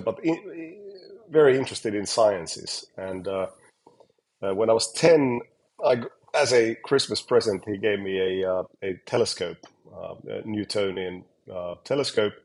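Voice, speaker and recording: A man from Finland with a Finnish accent tinged with American and British, talking in a relaxed interview with many fillers and restarts. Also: on the low side, slightly rough. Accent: Finnish, with American and British touches. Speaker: guest scientist. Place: home or office in Helsinki.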